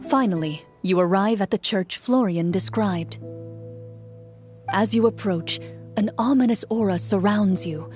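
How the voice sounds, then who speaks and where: Actress doing a composed lady duelist from a fantasy game, formal n measured, music playing under it. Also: mid-range voice, a little mellow, close to an everyday voice. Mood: solemn narrating. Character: composed, honourable female champion duelist and monster hunter. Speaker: game voice actor. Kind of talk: scripted game drama and narration.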